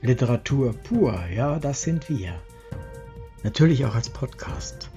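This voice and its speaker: Mellow, animated male station announcer. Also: medium pitch, a little slow, soft background music. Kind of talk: scripted podcast outro promo.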